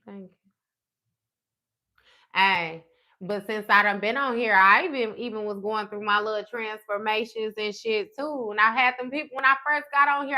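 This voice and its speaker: Bright-voiced, blunt woman in her thirties. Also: fairly high pitch. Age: 30s. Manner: blunt and profane, talks straight to her viewers. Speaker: tarot livestream host. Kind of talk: casual livestream chat with viewers.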